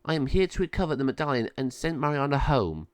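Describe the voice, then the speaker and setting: A man's voice: on the high side, animated, fast, no echo, voice pushed up, lightly acted. A man voicing a wise, determined good sorceress in a storybook tale, in a raised voice. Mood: firm.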